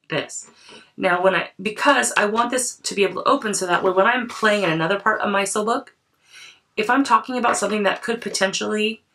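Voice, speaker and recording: A woman's voice: medium pitch, a bit bright, recorded from a distance. A woman creativity coach, her voice affected by a cold, chatting casually and thinking aloud as she crafts in a journal.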